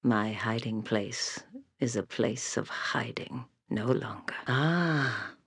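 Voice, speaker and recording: Blind ancient seeress for a fantasy game: husky, crackling whisper of a voice, haunted, resigned, speaking in solemn riddles with pauses. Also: female voice, a bass voice, heavily acted. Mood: haunted, regretful. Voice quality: breathy, raspy, whispery creaking voice. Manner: slow, solemn, cryptic speech with pauses. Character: blind old woman seer hiding in ice caves, fearful, fatalistic. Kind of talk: video game character acting.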